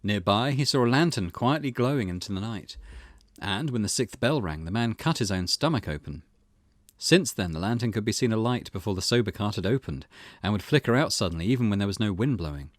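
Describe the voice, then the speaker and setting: Guy doing a casual lets play, lowish voice that moves around a lot, reads game text like a storyteller. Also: lively intonation, a little fast, no echo, studio quality. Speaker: gaming channel host. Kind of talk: casual playthrough commentary and reading of game text.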